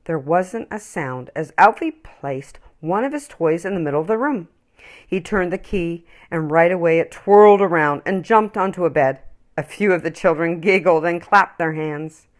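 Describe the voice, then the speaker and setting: A woman reading a children's story aloud with expressive, emphatic, dramatic phrasing. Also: fairly low pitch.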